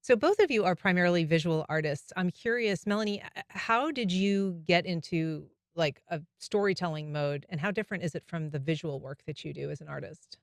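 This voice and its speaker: A woman hosting a public radio interview, asking questions in a smooth, curious, conversational way. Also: on the low side, fairly quick, hardly any pauses, no echo, studio quality. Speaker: radio show host. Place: radio studio.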